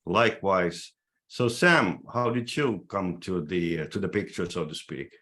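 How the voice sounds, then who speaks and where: Low-voiced Finnish man in his 60s. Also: sharp tone. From Finland. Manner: halting, restarts phrases often. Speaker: podcast host. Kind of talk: casual podcast chat.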